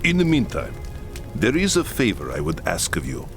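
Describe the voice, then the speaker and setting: A grave, deep-voiced camp leader. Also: male voice, wildly swooping pitch, normal speed, a bit bright, full character voice. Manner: earnest and solemn, pleading at times. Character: grave, proud Kislevite camp leader, middle-aged man. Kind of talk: game cutscene voice acting. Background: orchestral game music.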